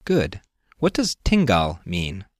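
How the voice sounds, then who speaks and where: A man's voice: low voice, huge pitch swings, slow pace, no echo, clean studio sound. A man hosting a scripted language lesson, explaining step by step and prompting the listener with questions. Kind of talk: scripted instructional narration.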